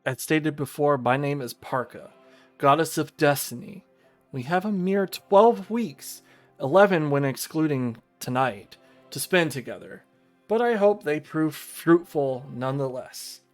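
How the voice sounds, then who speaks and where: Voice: mid-range voice, a little slow, soft background music, lightly acted. A streamer voicing a haughty, elegant young goddess of destiny in a visual novel, speaking formally and imperiously. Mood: formal, grand.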